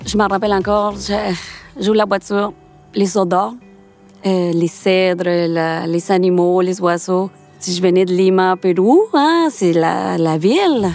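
Peruvian-born woman in her 30s, low gravelly voice, chatty with hesitations, faint music. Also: measured pace, darkish tone. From Peru. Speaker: interviewee. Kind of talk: casual interview. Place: outdoors in a garden.

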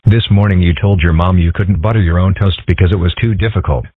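A man voicing a calm, philosophical young boy fox in a measured, analytical manner for a cartoon comic dub. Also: low voice, husky, no room sound. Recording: thin, band-limited, phone-like sound.

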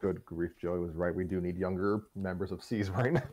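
A man in his twenties chatting casually as a show host giving an update, halting and wry, often restarting his sentences. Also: low-pitched, steady tone, a little mellow. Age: 20s. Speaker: host of a story-reading voice show. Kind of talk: casual chat, project update.

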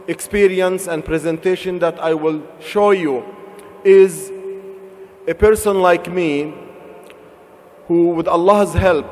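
A man's voice: fairly high pitch, a little hiss or hum. A middle-aged man from Palestine giving an earnest convention speech from a podium, building emphasis with rhetorical questions. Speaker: convention speaker. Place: large convention hall stage. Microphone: podium microphones. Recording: reverberant large hall.

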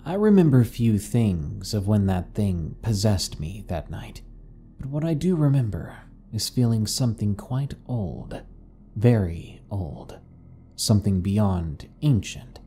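A man reading scripted horror stories as a narrator, in a deliberate, grave manner. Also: lowish voice, very animated, warm tone, slightly rough. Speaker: narrator and host.

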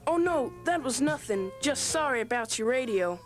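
A man's voice: very high-pitched, lively intonation, moderate pace. A modest American teenage boy in a film scene. He talks casually and a little bashfully but eagerly, with faint music behind. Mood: bashful, friendly. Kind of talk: film dialogue.

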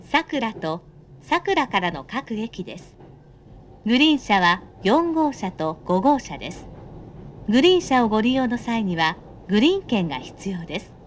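A woman's high, lively voice delivers scripted train announcements. She is polite and formal, and enunciates every phrase carefully. Faint train noise runs behind her.

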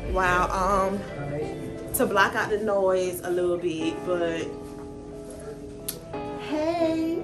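A woman's voice: mid-range voice, drawn-out words, pauses often, music in the background. A woman in her thirties chatting casually to viewers as a vlogger, bubbly and chatty, filming herself on a phone at arm's length in an office turned party venue. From Atlanta, United States. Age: 30s. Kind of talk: casual vlog chat to camera. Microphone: phone held at arm's length.

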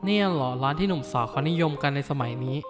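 A man voicing a bookish, easily flustered teenage schoolboy in an animated dub, grumbling to himself. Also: on the high side, racing, over music, lightly acted. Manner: flustered, grumbling inner monologue. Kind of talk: anime dub acting.

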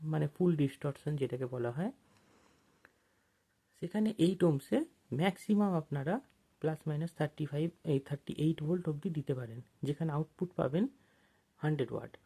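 Tutorial narrator, creaky low-key tone, dark and mellow, explanatory, step-by-step delivery with pauses. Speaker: instructor explaining electronics. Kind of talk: informal technical tutorial narration over datasheets.

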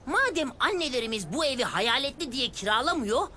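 A woman voicing an excitable, bossy young girl in a dubbed cartoon. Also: high voice, brisk. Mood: determined. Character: excitable young girl Zeytin, bold and eager. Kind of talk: cartoon dubbing.

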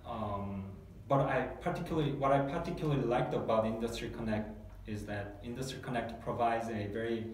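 Hombre coreano que habla con muchas pausas y titubeos, en una sala con eco. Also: male voice, mid-range voice. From South Korea. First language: Korean. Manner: hesitant, many fillers and restarts. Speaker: guest. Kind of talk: testimonial interview. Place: small dark meeting room, seated at a table. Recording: echoey room, mic far off, faint hiss.

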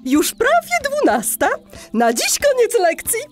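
Very high-pitched cheerful schoolteacher. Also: female voice, wildly swooping pitch, a little slow, soft background music, exaggerated voice. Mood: cheerful, encouraging. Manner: sing-song, praising, addressing small children. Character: cheerful, encouraging animal schoolteacher lady. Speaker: teacher. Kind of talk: children's audio play acting.

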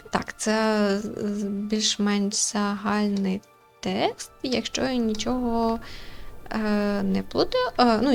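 A woman hosting a casual game playthrough, thinking aloud in a chatty, informal way. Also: on the high side. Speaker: gaming channel host. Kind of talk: casual let's-play commentary and reading aloud. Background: game music.

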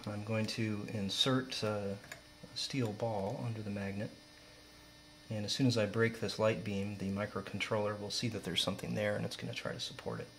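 A man's voice: lowish voice, poor audio. A young man giving an informal, matter-of-fact demonstration, explaining things as a student presenter. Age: young adult. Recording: steady mains hum, background noise.

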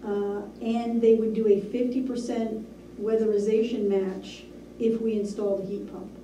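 A woman's voice: fairly high pitch, level tone, warm tone. Businesslike woman in an echoey meeting room. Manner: measured, businesslike, with frequent ums. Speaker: town administrator. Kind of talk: reporting at a board meeting. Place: small municipal meeting room. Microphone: far room camera. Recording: echoey room, distant mic, hiss.

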